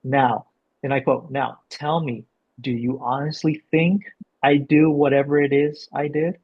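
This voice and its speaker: A man working as a director, explaining and reading out a script, with a gravelly, husky voice. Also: medium pitch, fairly even intonation, a little slow. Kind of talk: explanatory coaching and script narration. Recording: dull, lo-fi sound.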